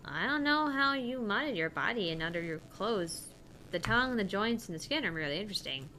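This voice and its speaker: A woman's voice: medium pitch, lively intonation, fairly quick, pushed and bright, a little hiss or hum, low-quality recording. A woman voicing an earnest, precise young woman officer in a formal, matter-of-fact visual novel reading. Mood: earnest, official.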